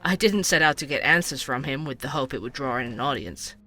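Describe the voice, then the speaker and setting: A man's voice: medium pitch, lively intonation, a little fast, sharp tone. A man playing an earnest night-shift worker turned investigative podcaster, narrating a scripted show in a confiding, musing way. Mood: confiding, wry. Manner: musing, confiding, addresses listeners directly. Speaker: podcast host.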